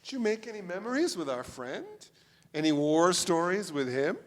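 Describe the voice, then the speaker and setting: A man's voice: high-pitched, animated, moderate pace. A man playing an easygoing, politely curious searcher in a live staged play reading, casual in manner. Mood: casual, inquiring. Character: easygoing, hungry, politely probing searcher for a missing friend. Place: theatre stage before a live audience. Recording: reverberant theatre hall, live stage.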